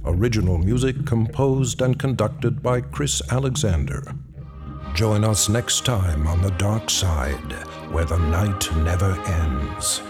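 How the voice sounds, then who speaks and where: A man with a low voice announces for a radio show, reading scripted credits. His pace is slow and deliberate, with loud music behind him.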